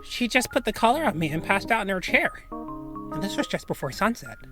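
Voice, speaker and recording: A man's voice: high-pitched, big pitch swings, a little fast, music in the background, voice pushed up. A man voicing an earnest young male talking cat who chats casually and hesitantly in an audio story. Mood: earnest, chatty.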